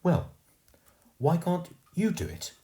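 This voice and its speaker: A man's voice: fairly high pitch, very animated, a little slow, warm tone, echoey room, pitched above the performer's own voice. A man voicing a posh, prim young girl who is haughty and indignant. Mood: defiant.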